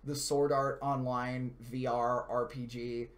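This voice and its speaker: A man's voice: mid-range voice, flat delivery, takes it slowly. A man hosting a gaming live stream, talking casually and enthusiastically in a loose, rambling, slangy way. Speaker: host of a video game channel's live stream.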